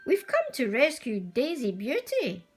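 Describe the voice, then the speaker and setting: Woman doing a high, tiny chipmunk knight. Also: huge pitch swings, measured pace, breathy edge, heavily acted. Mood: earnest, plucky. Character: tiny, plucky male cartoon chipmunk knights.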